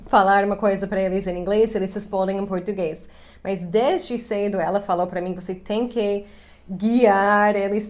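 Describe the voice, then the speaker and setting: A woman's voice: mid-range voice, darkish tone. An American woman from Chicago, a YouTube host in her thirties, chatting casually in Portuguese with English slipped in, laughing easily. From United States, Chicago. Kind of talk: casual chat on camera.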